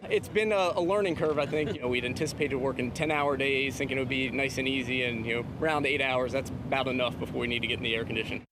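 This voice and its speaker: A man's voice: mid-range voice, fast, few pauses, background noise. A man speaking off the cuff in an interview. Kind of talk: unscripted interview talk.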